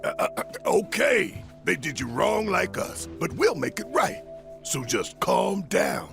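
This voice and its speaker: Gravelly rebel fighter, very creaky. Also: male voice, high voice, big pitch swings, measured pace, music in the background, exaggerated voice. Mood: soothing. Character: gruff, impatient but big-hearted rebel fighter. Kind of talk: video game voice acting.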